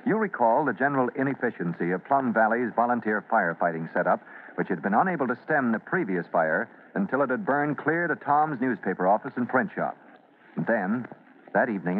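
A man narrating a radio drama as its announcer, in an emphatic, declamatory old-time broadcast style. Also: on the low side. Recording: tinny, faintly noisy vintage radio recording.

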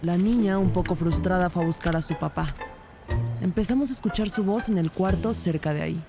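A female detective played by a woman tells her case in measured, scripted narration. Her voice is on the low side, with clear music behind it. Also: lightly acted. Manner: measured, descriptive. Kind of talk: scripted first-person narration.

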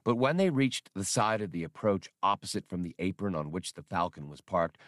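A man's voice: fairly low pitch, no echo, clean studio sound. A man reading scripted fiction as an audiobook narrator.

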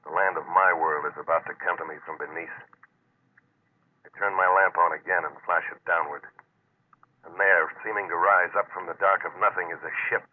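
An actor playing a cool, laconic deep-sea diver in an old American radio drama, dry and matter-of-fact with an American accent. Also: male voice, fairly even intonation, brisk, husky. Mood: brooding narration. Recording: noisy, narrow-band old radio recording.

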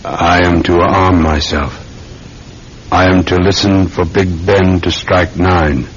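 A steady English sergeant in a vintage radio play. He speaks slowly and very deep, with restraint. The recording is dull and faintly hissy. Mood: entranced, obedient. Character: English police sergeant, an uncle, steady and compliant. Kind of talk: old-time radio drama acting.

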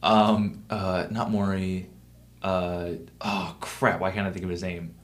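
A man's voice: deep voice, level tone, slow pace. A man co-hosting a podcast in casual chat, upbeat and joking, hyping up his co-host.

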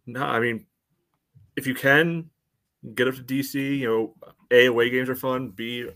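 A man co-hosting a sports podcast with casual, halting analysis full of verbal padding. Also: medium pitch, fairly even intonation, fairly bright tone. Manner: halting, peppers talk with "you know". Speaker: podcast co-host, commentator.

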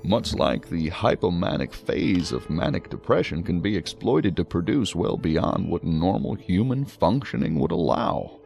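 An actor playing a talkative, learned psychiatrist who muses and explains at length in a science fiction audio drama. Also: male voice, deep voice, big pitch swings, a little slow, creaky, hardly any pauses, a little mellow, soft background music, heavily acted. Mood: engaged, explanatory. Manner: wordy, musing, explanatory. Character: male psychiatrist, talkative, learned, genially hedging.

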